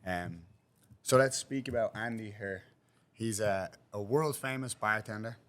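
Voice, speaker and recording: Low-voiced male podcast host. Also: unhurried, a bit bright. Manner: brief, encouraging interjections. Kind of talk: casual podcast chat.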